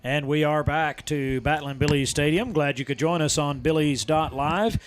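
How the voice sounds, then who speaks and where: A man calling live high school football, his voice slightly raspy as it recovers from being lost. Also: steady tone, moderate pace, faint music behind. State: voice recovering after losing it. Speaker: football play-by-play commentator. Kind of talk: live sports commentary.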